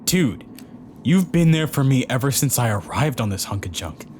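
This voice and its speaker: A man's voice: very animated, moderate pace, lightly acted. A man playing an eager young military hacker in a sci-fi audio drama, casual and wisecracking. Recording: loud vehicle rumble behind.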